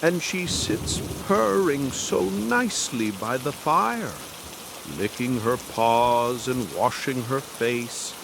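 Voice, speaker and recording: A man's voice: medium pitch, expressive, slow, gravelly, background noise. A man voicing a polite, eager, chatty little girl in a storybook reading, soothing and apologetic. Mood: dreamy, fond. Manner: soothing, hesitant, gushing.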